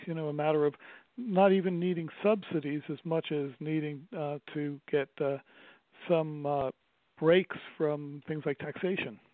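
A middle-aged man, a theater owner speaking as a radio interview guest, pausing often with fillers and restarting his sentences. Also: fairly high pitch, fairly even intonation, no echo. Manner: halting, many fillers and restarts. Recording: dry, tinny phone-like line.

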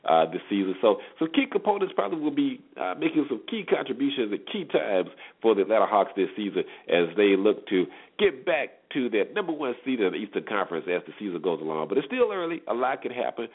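A man with a very low-pitched voice hosts a sports show. He talks through recaps conversationally, pausing and repeating words, and sounds narrow and tinny, as if on a phone line. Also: very animated.

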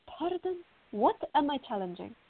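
A woman's voice: high-pitched, big pitch swings, moderate pace. An actress playing a fiery, indignant young woman in a radio drama, arguing and questioning. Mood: baffled, annoyed. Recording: tinny, band-limited, echoey room.

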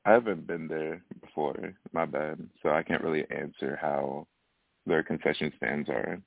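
A young man from Alaska, a wrestling guest, answering questions in a soft-spoken, hesitant way with easy laughs. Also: a bass voice, fairly even intonation, normal speed, gravelly, dry recording. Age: young adult. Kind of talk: casual interview over phone. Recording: tinny phone line.

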